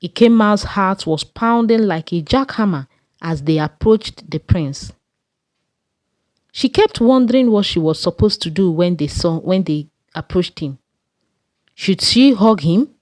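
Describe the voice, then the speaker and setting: A woman with a Nigerian accent narrating a scripted folktale in a measured storytelling way. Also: medium pitch, lively intonation, a little mellow, no echo.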